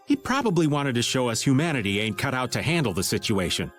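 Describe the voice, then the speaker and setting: A man's voice: medium pitch, very animated, quick pace, a bit bright. A man playing a skeptical, folksy older adventurer in a video game, speaking colloquially. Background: background music.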